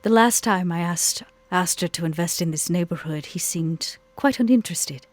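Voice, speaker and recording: A woman's voice: fairly low pitch, moderate pace, dry recording, close to an everyday voice. A woman voicing a cool, guarded female nightclub businesswoman in a tabletop roleplay, speaking in a formal, curt and pointed way. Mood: composed, formal. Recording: music playing behind the voice.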